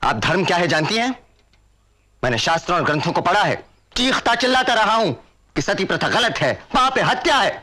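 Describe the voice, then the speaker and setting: An actor playing a passionate, indignant social reformer in a period drama, declaiming like an orator. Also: male voice, mid-range voice, sing-song, normal speed, pauses often, fairly bright tone. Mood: anguished outrage. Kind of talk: Hindi period drama acting.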